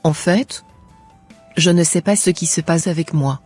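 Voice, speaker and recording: A woman's voice: fairly low pitch, unhurried, darkish tone, slightly rough. Woman acting a guilt-ridden cartoon wife over music. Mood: confessing, ashamed. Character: guilt-ridden young wife confessing her adultery.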